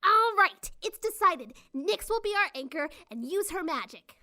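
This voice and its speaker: Woman doing a gutsy little girl pony, high bright voice, eager and pushy, cartoon story. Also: big pitch swings, normal speed, heavily acted. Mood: excited urging. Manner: urging, emphatic. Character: bold, competitive little filly, eager and pushy.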